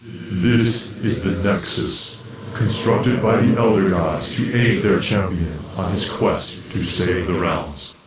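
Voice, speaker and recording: Deep, echoing male spirit guide. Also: heavy background noise. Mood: solemn, ominous. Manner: grave, formal, measured. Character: solemn, commanding male spirit guide of the gods. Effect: heavy echo on the voice. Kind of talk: video game cutscene voice acting. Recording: echoing, distant voice with music behind.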